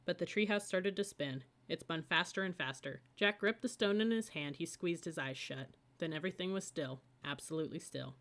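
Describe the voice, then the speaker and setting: A woman's voice: fairly low pitch, normal speed, hardly any pauses, a bit bright. A woman reading a children's audiobook as scripted story narration. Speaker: audiobook reader.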